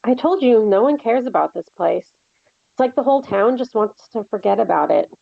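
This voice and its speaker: A woman's voice: fairly high pitch, lively intonation, moderate pace, vocal fry, no room sound, full character voice. A woman playing a teasing, protective young friend in a horror script table read, wry in manner, on a dull lo-fi microphone. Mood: concerned.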